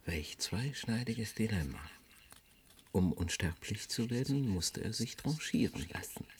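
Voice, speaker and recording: Sly scheming bureaucrat, very deep, animated intonation, ironic, noisy old radio recording. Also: male voice, a little slow. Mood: sly irony. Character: sly male bureaucrat conspirator, drily ironic. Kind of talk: German radio play acting.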